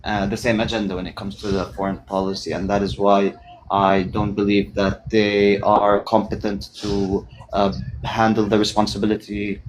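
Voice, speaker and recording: A man from Palestine talking politics in a casual online discussion, measured and thoughtful, with many pauses and fillers. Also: lowish voice, slight background noise, low-quality recording. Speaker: guest.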